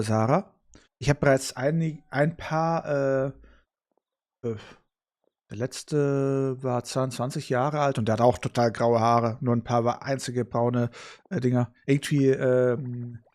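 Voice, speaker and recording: A man's voice: mid-range voice, steady tone, measured pace, darkish tone. A man giving casual, offhand gaming commentary as a streamer, with a blunt way of talking. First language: German. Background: game music.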